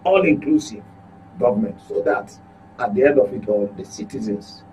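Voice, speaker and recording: A man's voice: mid-range voice, expressive, normal speed, dark tone, faint music behind, low-quality recording. A Nigerian man giving unscripted political commentary as a studio guest, repeating words for emphasis. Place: radio studio.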